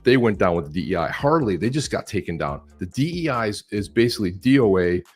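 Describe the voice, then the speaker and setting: A married man co-hosting a casual live stream chat, talking in an animated way with slang and swearing. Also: fairly low pitch, fast, husky, faint music behind. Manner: animated, swearing, talks to others with "man" and "dude". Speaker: co-host.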